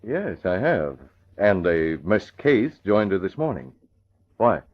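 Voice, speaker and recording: An actor playing a self-assured newspaper boss in an old radio drama, straightforward and measured. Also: male voice, sits low, sing-song, heavily acted. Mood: matter-of-fact. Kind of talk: 1940s radio acting. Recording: muffled old radio recording, music behind.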